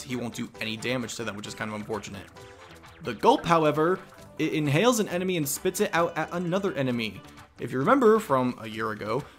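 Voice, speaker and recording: A man's voice: mid-range voice, fairly bright tone. A man giving casual, rambling commentary while playing a game. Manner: mumbly and rambling. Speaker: let's play commentator.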